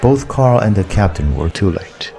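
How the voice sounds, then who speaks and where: A man narrating a story as a scripted audiobook, in a measured, deliberate way. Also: sits low, big pitch swings, gravelly, warm tone, faint music behind.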